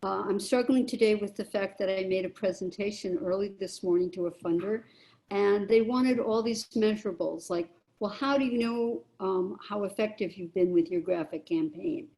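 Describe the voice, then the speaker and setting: A woman arts producer talking unhurriedly on a video call, with many pauses and fillers as she thinks aloud. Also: medium pitch, dark tone, rough recording. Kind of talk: casual group check-in on a video call.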